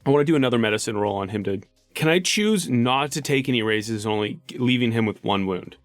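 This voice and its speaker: Halting man playing a tabletop game. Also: medium pitch, animated, soft background music. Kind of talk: casual in-game talk.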